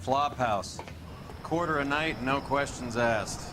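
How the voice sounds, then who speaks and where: A man's voice: medium pitch, takes it slowly, background noise, close to an everyday voice. An American man playing a cynical, streetwise 1940s vice detective in a video game, sardonic and slangy. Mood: dry. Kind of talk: video game cutscene acting.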